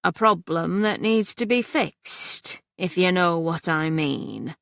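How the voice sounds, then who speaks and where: Slimy, threatening male coalman, drawn-out emphasis, read by a woman. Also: on the low side, creaky, fairly bright tone, dry recording, studio quality, exaggerated voice. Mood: menacing. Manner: slow, heavy emphasis.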